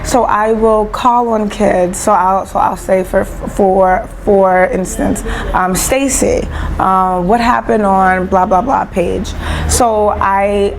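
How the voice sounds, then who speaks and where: A woman's voice: mid-range voice, slow, slight background noise, poor audio. A woman explaining things as a teacher in an interview, often repeating syllables and words mid-sentence. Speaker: early-childhood teacher being interviewed.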